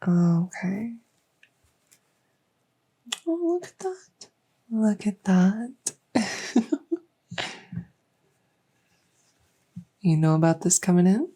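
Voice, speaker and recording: Woman playing a gentle, low-voiced fae doctor. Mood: delighted, gentle. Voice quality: soft, occasionally whispery. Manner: unhurried, reassuring, hesitant pauses. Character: gentle female fae doctor with buggy wings and scaly tail. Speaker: audio roleplay performer. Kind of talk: soft-spoken ASMR medical roleplay.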